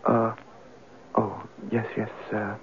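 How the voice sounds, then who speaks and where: A halting, troubled young man. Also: lowish voice, monotone, vocal fry. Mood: hesitant, sad. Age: 20s. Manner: halting, distracted, polite. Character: anguished, hesitant young man searching for a lost girl. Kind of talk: old-time radio drama acting. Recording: noisy narrow-band old radio recording.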